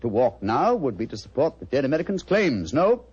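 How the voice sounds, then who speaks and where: On a hissy, muffled old radio drama, a self-assured English gentleman detective. He talks fast with sweeping, theatrical inflection, clipped and commanding. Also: mid-range voice, sing-song, husky. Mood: authoritative. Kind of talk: 1940s radio mystery acting.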